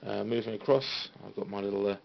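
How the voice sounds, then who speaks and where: A British man talks in a low, creaky voice, giving an informal show-and-tell in a halting, understated way. The sound is a dull phone recording with faint noise behind him. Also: fairly even intonation. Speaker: CB radio hobbyist giving a tour of equipment. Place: small radio room at home. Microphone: handheld phone.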